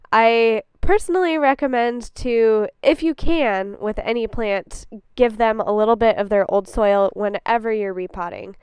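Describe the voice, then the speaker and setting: A woman's voice: medium pitch, a little mellow, clean tone, no room sound, clean studio sound. A young woman talking casually as a gardening vlogger, chatty and upbeat, a little stuffy from a cold. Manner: chatty and upbeat, laughs easily. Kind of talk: casual vlog talk to camera. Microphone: camera on a tripod.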